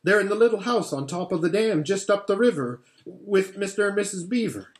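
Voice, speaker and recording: Guy doing a scared eager little boy, higher voice, talks fast. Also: animated. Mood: pleading. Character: frightened eager young boy.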